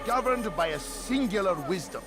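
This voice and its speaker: An actor playing a zealous, scornful scholar leader who preaches with oratorical contempt in a dramatic video game cutscene. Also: male voice, high-pitched, lively intonation, moderate pace, loud music behind the voice. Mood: commanding, fervent.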